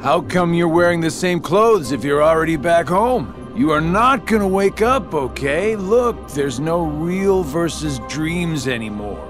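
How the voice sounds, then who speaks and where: A sly, mocking villain with a bouncy voice. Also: male voice, on the high side, lively intonation, measured pace, hardly any pauses. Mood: taunting. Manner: taunting, sarcastic, drawling. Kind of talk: video game cutscene acting. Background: game soundtrack music.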